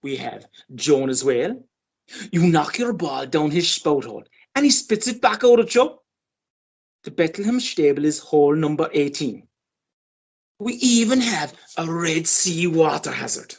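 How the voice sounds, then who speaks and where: Husky, boastful ageing Irish sportsman, played by a woman. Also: fairly low pitch. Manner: pompous, chatty, persistent. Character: boastful, wheedling ageing male Irish pitch and putt champion. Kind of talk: radio play monologue and dialogue.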